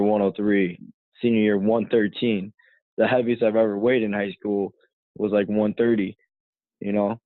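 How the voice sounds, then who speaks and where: A man's voice: lowish voice, no room sound. A young man in his twenties from the United States, talking as a podcast guest in a casual, rambling way full of "you know" fillers, heard over a tinny phone line. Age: 20s. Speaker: guest, fighter.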